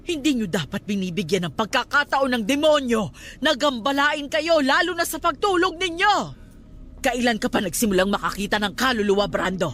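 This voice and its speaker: An actress playing a stern, superstitious middle-aged aunt in a radio drama, scolding and emphatic. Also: female voice, a little high, expressive, moderate pace, a bit bright, slight background noise.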